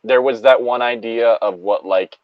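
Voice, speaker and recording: A man's voice: mid-range voice, steady tone, moderate pace, dry recording, lo-fi sound. A man chatting casually and reading aloud with friends, rambling and joking, swearing freely. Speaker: co-reader on a group creepypasta reading. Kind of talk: casual group chat and read-along banter.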